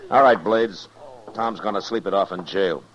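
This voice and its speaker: Man acting as deep American western marshal, calm, weary, authoritative, old radio drama, muffled sound. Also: normal speed, natural voice. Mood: calm, commanding. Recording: muffled, noisy old 1950s radio recording.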